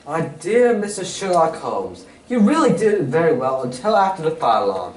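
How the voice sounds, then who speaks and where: In a distant, echoey hall recording, a man acts a confident gentleman detective of old radio drama. The voice is fairly high and very animated, with formal, old-fashioned turns of phrase and a theatrical, explanatory manner. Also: moderate pace. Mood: reading, admiring. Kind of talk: 1940s-style radio drama stage acting. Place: community theatre stage reading. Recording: echoey hall, distant mic, faint noise.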